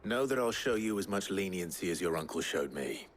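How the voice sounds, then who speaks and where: A man playing a weary, brooding outlaw lord in a video game drama, speaking gravely and with restraint. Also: low-pitched, expressive. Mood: grave, reserved. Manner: grave, measured, understated.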